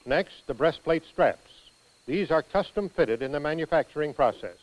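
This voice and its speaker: An American man reading scripted instructional narration for a training film in a precise, measured manner. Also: on the high side, animated, rough recording.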